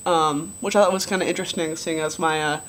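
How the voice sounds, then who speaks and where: A young man with a relatively low voice giving a casual video diary update, rambling with many ums and likes. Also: fairly even intonation, quick pace, fairly bright tone. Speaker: vlogger.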